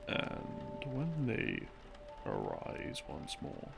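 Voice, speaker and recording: Low creaky guy murmuring a rambly bedtime lore story, music playing behind him. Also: huge pitch swings. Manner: halting, broken into short phrases with long pauses. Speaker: audio roleplay narrator, playing a boyfriend explaining game lore. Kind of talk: soft rambling sleep-aid storytelling. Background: soft background music.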